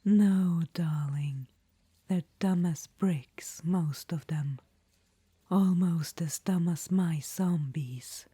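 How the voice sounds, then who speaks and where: A woman's voice: on the low side, extremely slow, mellow, dry recording, full character voice. Woman whispering as a languid undead witch-queen. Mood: languid, conspiratorial. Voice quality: breathy whisper. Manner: whispering, calls the listener darling. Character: undead witch-queen, ancient, vain, imperious. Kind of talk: ASMR audio roleplay.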